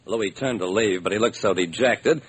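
A man's voice: lowish voice, breathy edge. An actor playing a shrewd, wry private detective who narrates his own case in an old-time radio drama. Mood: wry, brisk. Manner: wry, brisk storytelling. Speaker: narrator and lead character. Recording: slightly noisy old radio recording.

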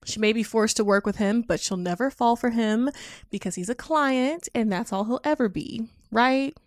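A woman's voice: fairly high pitch, normal speed, no echo. A woman reading podcast sponsor spots from a script, loosened with casual, sassy, conversational asides. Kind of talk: scripted ad read with casual ad-libs.

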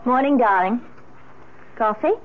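A woman's voice: fairly high pitch, unhurried, very noisy, lightly acted. A woman acting in a 1970s radio drama as a witty, socially ambitious middle-aged American wife with an arch, polished delivery. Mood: breezy, teasing. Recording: narrow, phone-like old radio sound.

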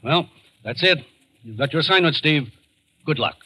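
A man playing a brisk, authoritative agency commissioner, serious and commanding, in 1940s radio drama style. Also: fairly high pitch, lively intonation, lightly acted. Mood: businesslike, serious. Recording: noisy old radio recording.

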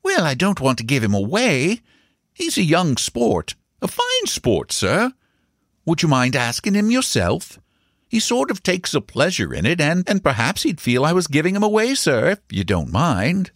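A man's voice: on the high side, wildly swooping pitch, normal speed, no echo, studio quality, voice pushed up, full character voice. A man voicing a serious, deferential young gardener and loyal former batman in an audiobook, solemn and hesitant. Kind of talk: audiobook character dialogue.

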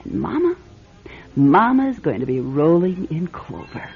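An actress playing a scheming middle-aged fortune-teller in a classic radio drama, grandiose and coaxing in manner. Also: female voice, lowish voice, big pitch swings, measured pace. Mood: cooing, gleeful. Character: theatrical, scheming female fortune-teller and fake medium. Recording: muffled vintage radio, music behind.

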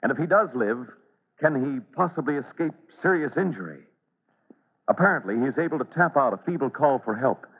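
A man's voice: medium pitch, expressive, brisk, slightly rough. A man narrating and announcing an old-time radio serial in an emphatic, theatrical scripted style. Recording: reverberant, tinny vintage radio recording.

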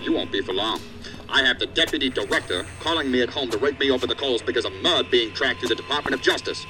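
A man's voice: mid-range voice, fast talker, music in the background, lightly acted. A man playing an angry, threatening assistant director in a science fiction audio drama, stern and blunt.

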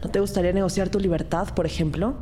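A woman's voice: fairly low pitch, fairly even intonation, quick pace, dark tone, slight background noise, natural voice. An actress playing an earnest rookie detective who narrates her case in a scripted audio drama. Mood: serious, intent. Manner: serious, storytelling.

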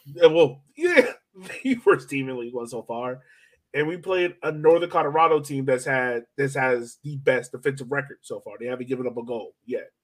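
A man with a mid-pitched voice hosts a sports podcast. He talks in a casual, slangy, conversational way, working through his thoughts as he goes. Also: normal speed. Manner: conversational, slangy, thinking aloud. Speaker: soccer podcast host.

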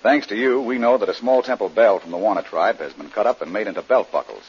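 An actor playing a confident, alert adventurer hero in a 1940s radio adventure style. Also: male voice, lowish voice, quick pace, husky. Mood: earnest explaining. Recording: muffled vintage 1940s radio recording.

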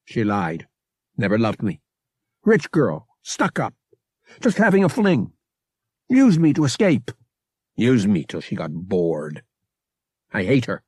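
A man's voice: fairly low pitch, huge pitch swings, slow, long pauses, breathy edge, no room sound, studio quality, heavily acted. Guy reading as young heartbroken man in an audiobook, bitter, torn up, raw throat, talking to himself. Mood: bitter anger.

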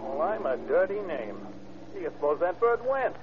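A man's voice: high voice, very animated, moderate pace. An actor playing a jumpy, wisecracking patrol policeman in an old-time radio drama. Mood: excited. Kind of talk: 1930s-40s radio drama acting. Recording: tinny, very noisy old radio recording.

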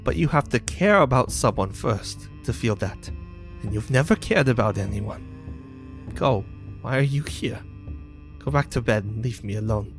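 A man's voice: medium pitch, expressive, moderate pace, soft background music, lightly acted. A man voicing a young Goliath girl in a tabletop game, bitter, grieving and scornful in an emotional, confrontational way. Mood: wounded, accusing.

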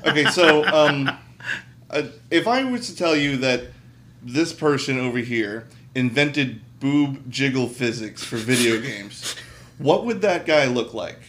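A man's voice: mid-range voice, lively intonation, a little slow, background noise. A man co-hosting a casual discussion of games and movies, explaining things conversationally. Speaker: podcast co-host. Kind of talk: casual chat about video games and movies.

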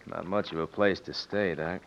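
Low-voiced, brusque crook henchman. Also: steady tone, moderate pace, creaky. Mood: doubtful. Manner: blunt, offhand. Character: brusque safecracker henchman to a swindler. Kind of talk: old-time radio western acting. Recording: dull vintage radio recording.

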